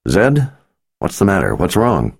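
A man voicing a big, burly male warrior and protective father who speaks in a gruff, drawling, dryly teasing way. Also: low voice, huge pitch swings, measured pace, pitched above the performer's own voice, heavily acted. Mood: demanding.

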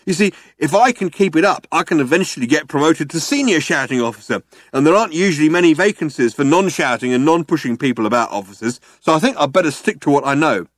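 A man's voice: fairly high pitch, animated, quick pace, no room sound, pitched above the performer's own voice. A man voicing a dim, dutiful alien guard in a comic audiobook, plodding and polite but given to bullying. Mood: cheerfully dutiful. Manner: hesitant, plodding, polite between bellows.

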